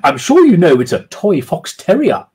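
A man reading aloud and voicing a haughty, posh woman with a high-pitched squawk and a drawn-out, schoolmistress-like manner. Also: huge pitch swings, a little mellow, exaggerated voice. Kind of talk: storybook reading.

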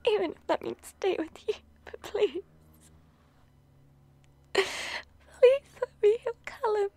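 A woman voicing a frightened young female student held captive, pleading in tearful breathy whispers. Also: extremely high pitch, expressive, slow pace, darkish tone, no room sound, exaggerated voice. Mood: desperate pleading. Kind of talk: audio roleplay drama.

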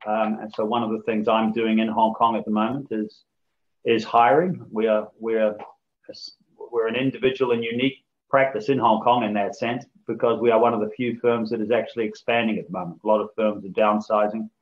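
A man from New Zealand, head of a law firm office, giving a measured, formal business presentation over a video call with frequent ums. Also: fairly low pitch, highs cut off.

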